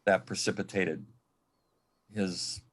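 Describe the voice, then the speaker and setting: An American man from Michigan reminiscing as a panel guest in a halting, reflective way, often repeating words. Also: sits low, fairly even intonation, fairly bright tone. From Michigan, United States. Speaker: panel guest, former assistant to an entertainer.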